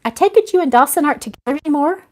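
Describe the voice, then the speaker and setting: Woman reader as kind nosy stranger, high voice, compassionate, encouraging, romance audiobook. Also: lively intonation, racing. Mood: sympathetic, curious. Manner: inquisitive, encouraging. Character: kind, probing, compassionate stranger with past heartache.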